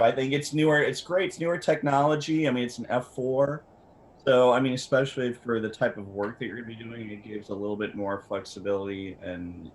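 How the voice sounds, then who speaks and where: Male guest expert, lowish voice, informal and conversational, faintly noisy video call. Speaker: camera company representative, guest expert. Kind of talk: casual expert advice.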